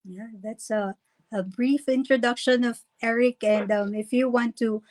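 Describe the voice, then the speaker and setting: Lady hosting a live chat, slow, kinda high voice, lots of ums. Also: fairly even intonation. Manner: warm welcoming, halting with fillers, nervous at first. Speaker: host. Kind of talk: live online interview.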